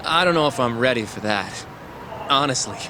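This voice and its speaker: A man's voice: medium pitch, lively intonation, normal speed, natural voice. Casting: male actor as a humble young game sidekick, mid-range, polite and slightly hesitant, outdoor traffic noise behind. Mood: modest. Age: young adult. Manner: polite, self-effacing, hesitant. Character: modest, deferential young male law-office sidekick. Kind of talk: English game dub, casual dialogue.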